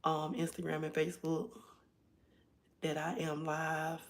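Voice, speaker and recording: Hoarse chatty woman livestreaming. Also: low voice, completely flat delivery, measured pace. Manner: chatty, addresses viewers directly. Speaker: livestream host. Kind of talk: casual livestream chat.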